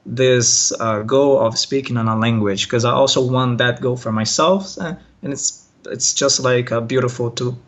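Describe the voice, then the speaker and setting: A man's voice: mid-range voice, normal speed, hardly any pauses. A Brazilian man whose first language is Portuguese, an online language teacher answering interview questions in a hesitant way, with many fillers and restarts, over a video call.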